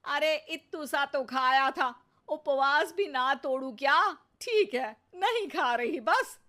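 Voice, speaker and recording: A woman's voice: high-pitched, lively intonation, takes it slowly, bright, forceful tone, exaggerated voice. A woman playing a gluttonous, dramatic elderly mother-in-law in a comic cartoon, whining theatrically. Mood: indignant, whining.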